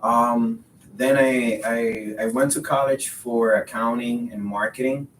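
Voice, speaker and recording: A man in his thirties from the Republic, a Spanish speaker, chatting in an interview in a halting way, repeating words. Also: fairly even intonation. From Dominican Republic. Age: 30s. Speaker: podcast guest, realtor. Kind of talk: casual interview conversation. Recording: roomy echo, distant mic, faint rumbling noise.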